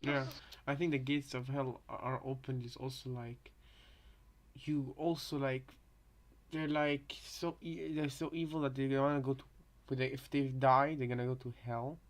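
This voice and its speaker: A man's voice: medium pitch, flat delivery, a little slow. A man chatting casually as a co-commentator, tentative and hesitant as he works out his thoughts. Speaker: co-commentator reacting to voice lines. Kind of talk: casual chat.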